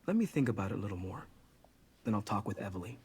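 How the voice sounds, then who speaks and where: An actor playing a somber, protective young outcast in a fantasy video game, speaking earnestly and in a measured way. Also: male voice, fairly low pitch, very animated, slightly rough, full character voice. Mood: wary, thoughtful.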